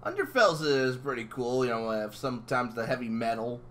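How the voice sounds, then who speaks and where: Animated, bright-voiced man chatting excitedly. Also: mid-range voice, moderate pace, a little hiss or hum. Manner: excitable, exclamatory, swearing emphatically. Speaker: interviewer. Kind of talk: casual fan interview chat.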